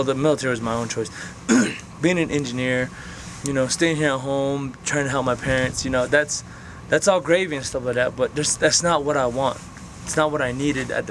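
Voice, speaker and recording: A man's voice: medium pitch, a bit bright, slight background noise. A man in his twenties talking casually to his camera outdoors, rambling and frank, swearing now and then. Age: 20s. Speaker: vlogger. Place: outdoors on open flat ground. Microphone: camera mic, close framing.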